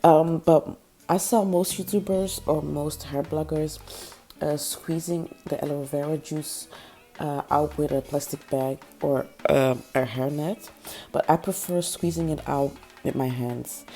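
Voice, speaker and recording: Low husky-voiced woman with a cold. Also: steady tone, a little slow, mellow, faint music behind. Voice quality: naturally low voice. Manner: chatty, many ums and likes, small laughs. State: sick, voice even lower than usual. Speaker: natural hair vlogger. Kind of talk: casual how-to vlog.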